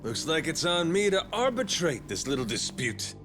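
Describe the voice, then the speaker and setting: A yakuza pirate captain from a video game. He is theatrical and folksy, with swooping intonation and a taunting, playful menace. Music plays clearly behind him. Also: a little high, very animated, normal speed. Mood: commanding. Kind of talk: English dub video game voice acting.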